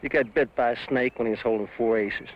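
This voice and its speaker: An actor playing a rough, needling cowboy drifter in a western, with a folksy, teasing way of talking. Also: male voice, mid-range voice, steady tone, quick pace, slight background noise, natural voice. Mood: storytelling. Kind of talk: old western film dialogue. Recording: dull lo-fi film audio.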